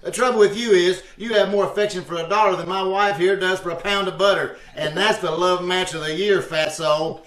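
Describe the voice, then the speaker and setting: A man's voice: sits high, fairly even intonation, brisk, few pauses, a bit bright. A man playing a bumbling, wisecracking husband in old-time radio comedy, with a folksy, slangy delivery. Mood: needling. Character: bumbling, wisecracking, grouchy husband with big schemes. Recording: echoey, distant video-call microphone.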